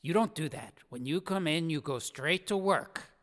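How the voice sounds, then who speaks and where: A stern old master craftsman from a storybook, acted out by a male reader. He speaks in a pompous, formal, scolding way, pitched above the narration. His words ring in a long, cavernous reverb. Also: moderate pace, fairly bright tone, close to an everyday voice.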